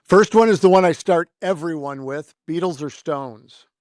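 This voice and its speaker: A man's voice: on the high side, normal speed, a little mellow, dry recording, studio quality. A middle-aged man hosting a casual interview in a chatty, rambling way. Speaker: podcast host.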